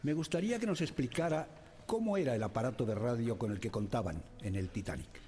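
A husky, formal male official questions a witness courteously in a radio drama. His voice rings with long hall reverb. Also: mid-range voice, expressive, a little fast, warm tone. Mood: formal, inquiring.

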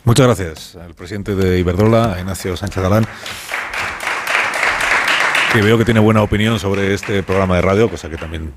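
A man's voice: deep voice, normal speed, long pauses, over a noisy crowd, heavy background noise. A man hosting a radio show on location, talking in a chatty, friendly way and repeating words as he goes. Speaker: radio host.

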